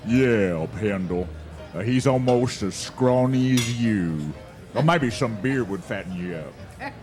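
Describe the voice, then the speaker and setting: Man playing a slow, sneering cowboy bully. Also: medium pitch, expressive, a little mellow. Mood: mocking. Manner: taunting, sneering drawl. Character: mocking, menacing male cowboy bully in western saloon. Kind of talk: western radio drama acting. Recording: music behind the voice.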